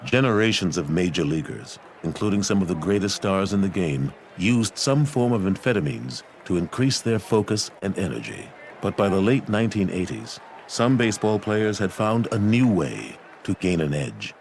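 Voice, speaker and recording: Male documentary narrator, deep and gravelly, measured delivery, lively intonation. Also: slight background noise. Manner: measured, serious.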